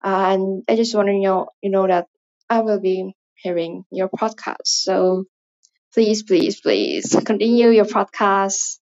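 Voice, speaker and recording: A woman's voice: medium pitch, expressive, a little slow, a little mellow, lo-fi sound. A woman from Indonesia with an Indonesian accent, English not her first language, leaving a rambling, hesitant voice message full of fillers. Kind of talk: casual spoken voice message.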